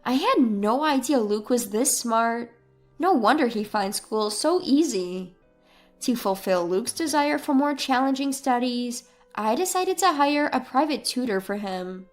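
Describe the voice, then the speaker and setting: A woman's scripted story narration is delivered in the first person. Her voice sits fairly high, with soft music underneath. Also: lively intonation, measured pace.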